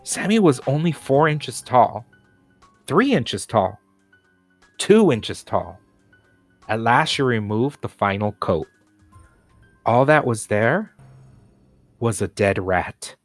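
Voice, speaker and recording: A man's voice: mid-range voice, big pitch swings, slow, long pauses, dry recording, soft background music. A man narrating a children's storybook aloud as a scripted reading. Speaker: storybook reader.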